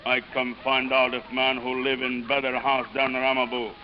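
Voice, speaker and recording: A man's voice: medium pitch, monotone, normal speed, close to an everyday voice. An actor playing a hostile, proud island headman in a 1940s radio drama, speaking blunt, commanding broken English. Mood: challenging. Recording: noisy, muffled old radio recording.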